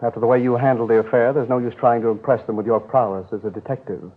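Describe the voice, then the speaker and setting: A man's voice: mid-range voice, steady tone, fast talker, close to an everyday voice. A man acting a fussy, self-important bank official with a precise, indignant manner in a 1950s radio drama. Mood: huffy, insistent. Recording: vintage tinny band-limited radio recording.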